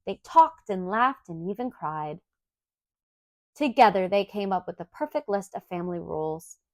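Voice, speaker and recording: A woman reads a children's story aloud in an expressive, clearly enunciated storytelling manner. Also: mid-range voice, moderate pace, no room sound, studio quality. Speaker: storybook reader.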